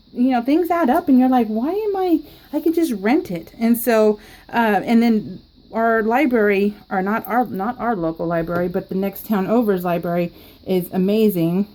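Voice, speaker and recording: A woman's voice: mid-range voice, a little mellow. A woman rambling through a story in casual chat, laughing often, recorded at home. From California. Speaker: scrapbooking vlogger. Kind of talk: casual storytelling while crafting.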